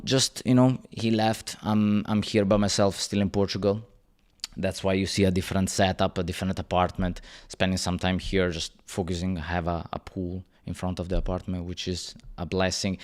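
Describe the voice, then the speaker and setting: A man in his thirties hosting a casual livestream, greeting viewers by name and chatting with lots of fillers and restarts. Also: low-pitched. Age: 30s. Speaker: YouTube channel host. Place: rented apartment.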